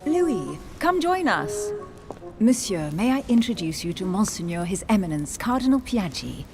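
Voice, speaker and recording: A woman voicing a refined aristocratic lady in a video game, gracious and gently teasing. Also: mid-range voice, big pitch swings, moderate pace, a little hiss or hum. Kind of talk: game voice acting.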